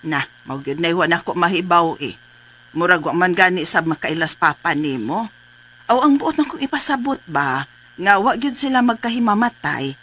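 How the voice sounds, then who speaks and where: A woman's voice: on the low side, animated, moderate pace, fairly bright tone. An actress playing a cautious, peace-keeping older farmer's wife and mother in a radio drama, with a Cebuano Filipino accent. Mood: cautious, explaining. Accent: Filipino, Cebuano-speaking.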